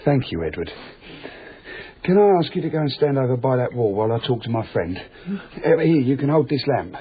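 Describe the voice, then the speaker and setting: A man's voice: mid-range voice, very animated, brisk, natural voice. A tinny-sounding, matter-of-fact man acting in radio drama. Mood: calm. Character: calm, odd tunnel maintenance worker, fond of dark places. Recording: tinny, noisy radio drama broadcast copy.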